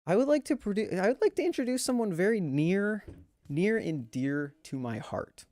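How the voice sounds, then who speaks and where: A man with a slightly high-set voice hosts a livestreamed awards show. He addresses chat with theatrical, jokey flair and mock exasperation. The sound is close and dry, with little room in it. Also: a little mellow, no echo.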